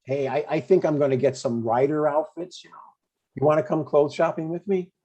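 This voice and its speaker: A man's voice: on the high side, steady tone, a little fast, dark tone, muffled recording, natural voice. A man in his sixties playing an earnest, eager but stubborn dad in a conversational comedy table read. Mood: eager, excited.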